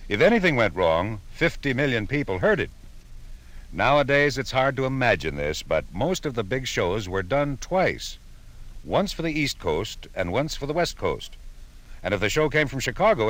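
Male documentary host, lowish, animated, wry, scripted yet conversational nostalgia.

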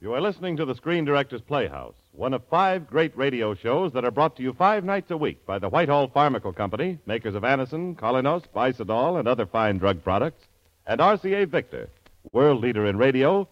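Male American radio announcer, mid-century style, very animated pitch, emphatic polished selling, dry but dull vintage sound. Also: a little fast, no room sound. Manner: polished salesman delivery with emphatic phrasing. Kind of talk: 1940s-50s scripted radio announcing and advertising.

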